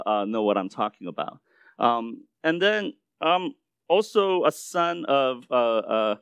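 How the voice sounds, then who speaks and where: Korean American male preacher, low voice, casual testimony style, dry sound. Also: dry recording. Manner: conversational, repeats words and restarts, uh fillers. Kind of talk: sermon testimony.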